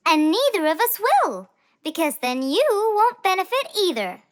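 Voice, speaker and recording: Casting: earnest, innocent young girl for children's cartoon, squeaky-high and very animated, music underneath. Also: moderate pace. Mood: earnest persuasion. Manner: pleading, insistent, innocent. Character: earnest, eager, naive little girl, Dorothy.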